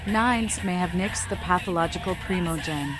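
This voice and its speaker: A woman's voice: lowish voice, moderate pace, over music, close to an everyday voice. A woman voicing a mad, riddling female vampire seer as a game protagonist, oddly formal. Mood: ominous. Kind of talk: fan-voiced video game protagonist.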